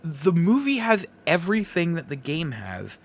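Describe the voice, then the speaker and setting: A man hosting a podcast, giving casual solo opinions in halting, broken phrases with emphatic stresses. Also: a little high, huge pitch swings. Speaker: podcast host.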